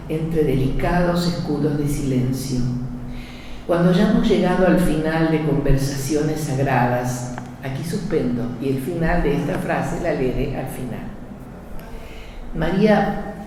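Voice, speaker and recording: A woman with an Argentine Spanish accent presenting a book, reading prepared remarks in a measured, poetic way. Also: deep voice, noisy background. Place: event hall. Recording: echoey hall from far off.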